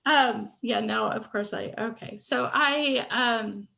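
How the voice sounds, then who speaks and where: A woman's voice: fairly high pitch, takes it slowly, gravelly, pushed and bright. A woman speech pathologist sharing her personal story on a video call panel, candid, stuttering now and then with fillers and restarts.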